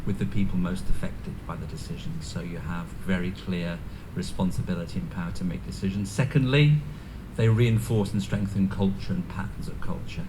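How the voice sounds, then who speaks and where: A man from North Wales with a Welsh accent, giving an earnest speech as a guest at a community ceremony, often pausing with ums and restarting his sentences. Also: sits low, background noise. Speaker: guest speaker. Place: community event venue.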